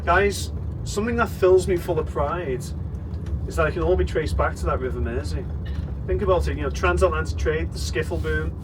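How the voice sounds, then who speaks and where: Chatty Liverpool tour guide on a noisy bus. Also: male voice, a little high, a little fast. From Liverpool, England. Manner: chatty, jokey, rallying the crowd. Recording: distant voice with loud bus noise.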